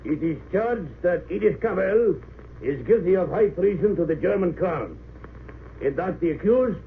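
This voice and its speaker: Solemn high-pitched court officer. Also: male voice. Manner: declamatory, formal reading. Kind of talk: 1930s-40s radio drama acting. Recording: noisy narrow-band old radio recording.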